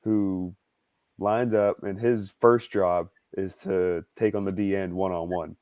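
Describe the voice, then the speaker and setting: A man's voice: sits low, steady tone, slow, darkish tone, no room sound. A man in his twenties giving casual football analysis as a draft analyst. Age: 20s.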